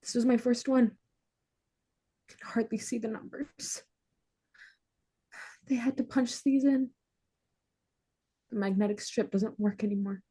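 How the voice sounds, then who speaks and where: A woman performs a spoiled young woman at a sitcom table read. The voice sits slightly high. She sounds earnest and pleading, with a touch of whine. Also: unhurried, long pauses, dark tone, slightly rough. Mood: nostalgic.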